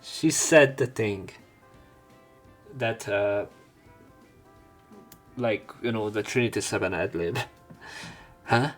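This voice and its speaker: A man's voice: lowish voice, takes it slowly, long pauses, faint music behind. A man narrating a visual novel let's play, reading the story aloud with casual commentary.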